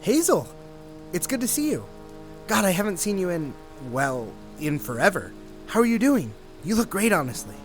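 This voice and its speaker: Encouraging male police detective, played by an actor, audio drama, earnest and talkative, lighter pitch, music underneath. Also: sing-song, normal speed, low-quality recording. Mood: glad, upbeat.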